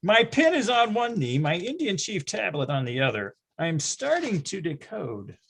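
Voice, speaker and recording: A performer playing an excitable, grandiose narrator recalling a nine-year-old's boyhood in a theatrical readers theatre style. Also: mid-range voice, normal speed, creaky, a little hiss or hum. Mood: building excitement.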